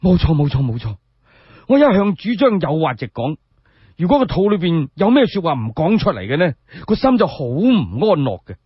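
A man voicing a humble, excitable old blind storyteller in a Cantonese radio tale, effusive and deferential. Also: a little high, normal speed, husky, no room sound, highs cut off. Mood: frank, eager.

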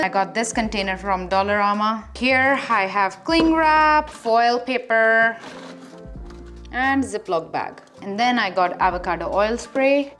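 A woman's voice: medium pitch, a bit bright. A woman vlogger talking casually through her packed bags, listing items one by one with small fillers along the way. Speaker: vlogger showing her packing. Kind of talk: casual show-and-tell.

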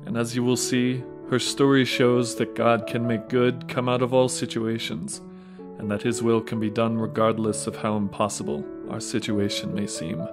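A man reading scripted narration of a saint's life as the narrator of an audio drama. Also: mid-range voice. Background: plucked guitar music.

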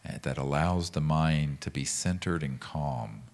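A man leading a guided meditation as a teacher, speaking in a calm, gentle, measured way with pauses. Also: a bass voice. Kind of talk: guided meditation with mantra instruction.

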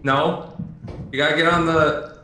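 A man's voice: a little high, steady tone, a little slow, bright, forceful tone. A man talking casually with friends as they play a multiplayer game. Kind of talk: casual multiplayer gaming chat. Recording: very echoey, faint music behind.

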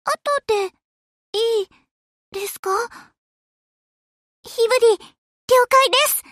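An extremely high, childlike little warship girl from an anime game. She speaks slowly and politely, with a sweet, earnest eagerness to serve and a touch of hesitation. The recording is close and dry. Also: fairly bright tone, no echo, full character voice. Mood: shy, hesitant. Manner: polite, dutiful, slightly hesitant. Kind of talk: Japanese anime game voice lines.

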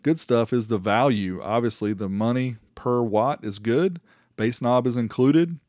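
A man's voice: mid-range voice, measured pace, darkish tone, no room sound, clean studio sound. A man giving an informal, plainspoken review voice-over as the host of a product channel. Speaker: host reviewing car audio amplifiers.

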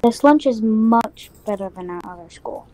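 A woman's voice: on the high side, animated, normal speed, warm tone, natural voice. A woman playing a chatty young schoolboy, talking simply and matter-of-factly in a game roleplay. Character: young schoolboy, chatty dinosaur-loving twin. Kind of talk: improvised voiced game roleplay. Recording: noisy home mic with room ambience.

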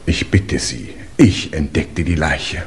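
An actor playing a dignified, gossipy English manservant in a 1950s German radio play, formal and obsequious. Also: male voice, a bass voice, slow. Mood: pompous, confiding. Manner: formal, pompous, deferential.

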